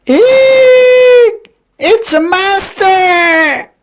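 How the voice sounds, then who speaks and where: A man voicing terrified animals in a children's storybook, shrieking loudly in alarm. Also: lively intonation, extremely slow, full character voice. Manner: loud, high shrieking. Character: panicking storybook animals: shrieking dog and hippo.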